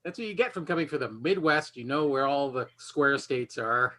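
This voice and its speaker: A man hosts a casual online game show in a bright, forceful voice. He talks off the cuff with joking, self-deprecating asides, as if on a video call. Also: medium pitch, lively intonation, normal speed. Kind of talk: casual unscripted hosting of an online quiz.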